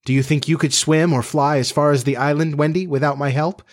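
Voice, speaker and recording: A man's voice: a little high, steady tone, a little fast, voice pushed up, natural voice. A man voicing a brave boy who never grows up, speaking earnestly and gallantly. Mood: grave.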